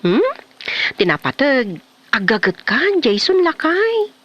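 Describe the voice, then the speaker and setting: Lady acting grumbly village woman, comedy drama, lively pitch, dull dry recording. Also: slow, dry recording, heavily acted. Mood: grumbling. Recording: dull, muffled, dry sound.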